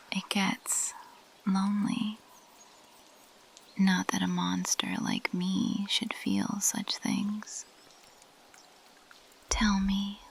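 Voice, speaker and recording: A woman playing an ancient, possessive female plant monster in an ASMR roleplay, in a breathy, intimate, teasing whisper. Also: mid-range voice, a little hiss or hum. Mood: wistful, lonely. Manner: intimate, teasing, slow and deliberate.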